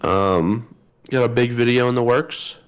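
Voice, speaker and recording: A man co-hosting a podcast in casual chat, his voice slightly hoarse from a sore throat. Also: mid-range voice, unhurried. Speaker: podcast co-host.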